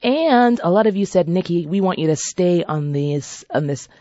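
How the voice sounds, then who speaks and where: A woman hosting a radio talk show in a husky voice, chatty and playful, talking straight to her listeners. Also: fairly low pitch, animated, moderate pace, dark tone, dry recording, muffled recording. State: husky voice from a seasonal cold. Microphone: studio microphone.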